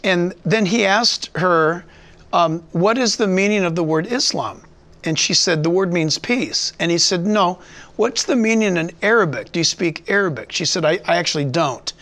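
A man's voice: sits high, lively intonation, moderate pace, a bit bright. A man hosting a talk show, asking pointed rhetorical questions and often repeating words as he thinks. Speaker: host. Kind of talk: conversational talk show interview.

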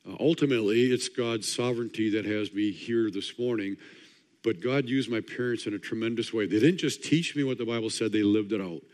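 Hoarse low-voiced man preaching in a hall. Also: brisk, a little mellow. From Wisconsin. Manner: dry joking delivery. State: hoarse, partly lost voice. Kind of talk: fast-paced sermon talk. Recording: long hall reverb.

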